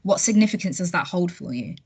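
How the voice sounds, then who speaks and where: A woman with a somewhat low voice hosts an interview. She speaks in a relaxed, conversational way, agreeing readily and cushioning her questions with hedges like "kind of". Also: brisk, breathy edge.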